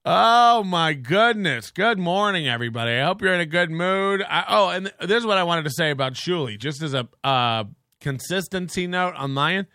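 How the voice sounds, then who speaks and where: A man's voice: high-pitched, expressive, moderate pace, pushed and bright, clean tone, dry recording, studio quality. A man hosting a podcast in casual, rambling chat, crude and joking, with lots of restarts. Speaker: podcast host.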